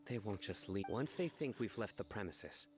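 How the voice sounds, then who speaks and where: Man as a deep-voiced, serious game investigator. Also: animated, moderate pace, heavy background noise, natural voice. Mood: composed. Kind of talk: video game voice acting. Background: game music.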